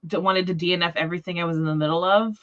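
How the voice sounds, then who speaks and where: Low-voiced woman, informal and rambling, dullish audio. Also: steady tone, rapid-fire, dull audio. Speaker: host of a book discussion livestream. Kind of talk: casual chat. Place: remote livestream call.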